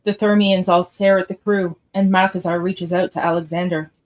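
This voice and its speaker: Woman narrator with a lowish voice. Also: little pitch movement, moderate pace, a little mellow. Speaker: narrator reading stage directions. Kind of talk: scripted narration for live radio theatre read.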